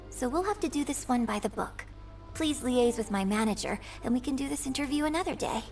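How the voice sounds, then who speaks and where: Graceful high-voiced young opera star. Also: female voice, gravelly, recorded from a distance. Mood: apologetic, courteous. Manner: refined, courteous, measured phrasing. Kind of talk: video game voice acting. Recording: game music bed behind voice.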